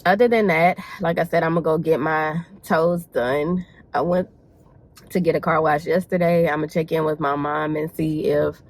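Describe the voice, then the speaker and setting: A woman's voice: deep voice, fairly even intonation, normal speed. A woman in her twenties with a stuffy, congested voice, chatting casually to her vlog audience. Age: 20s. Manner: chatty, rambling, says y'all. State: congested with a cold. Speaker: vlogger. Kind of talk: casual vlog talk to camera. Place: in a car on the way to errands.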